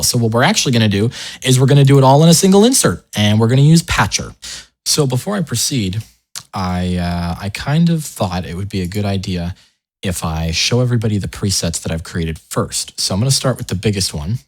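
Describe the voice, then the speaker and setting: A man hosting a casual software tutorial, talking informally with wry asides and interrupting himself as he goes. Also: on the low side. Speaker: tutorial host.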